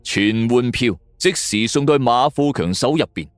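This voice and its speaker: A man's voice: mid-range voice, lively intonation, normal speed, no echo. A man with a Hong Kong Cantonese accent narrating a detective story dramatically in the first person. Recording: background music under voice. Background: music.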